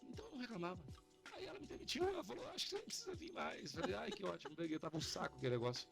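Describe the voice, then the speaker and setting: A Brazilian man chats casually on a podcast, telling anecdotes in slangy, informal speech. His voice is fairly high and very lively in pitch, and he moves quickly as he acts out both sides of a conversation. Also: gravelly, over music. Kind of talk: casual animated storytelling chat.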